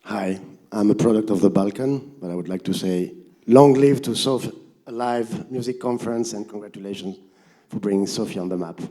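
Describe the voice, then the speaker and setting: A man from the Mediterranean introduces himself on a panel in an informal, rambling way. His voice is mellow and a little on the low side. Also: normal speed. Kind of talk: informal spoken self-introduction.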